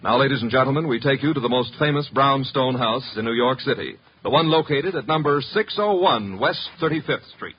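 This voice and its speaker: A man with a husky voice announcing in an emphatic, grandly formal 1950s American radio style. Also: medium pitch, expressive, brisk, hardly any pauses. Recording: muffled 1950s radio broadcast recording.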